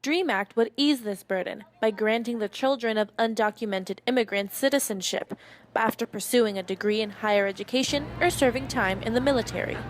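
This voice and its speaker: Female reporter, steady news read, slightly high. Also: fairly quick, slight background noise. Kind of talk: scripted news narration.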